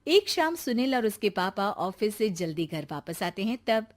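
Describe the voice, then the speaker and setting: A woman's voice: mid-range voice, big pitch swings, normal speed. A woman reading a scripted family story as its narrator.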